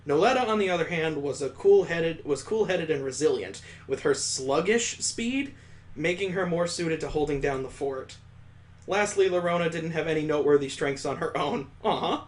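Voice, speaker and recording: A man's voice: on the high side, expressive, normal speed. A man reading a visual novel aloud as a streamer, breaking off for sarcastic, amused asides. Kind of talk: reading game text aloud with joking asides.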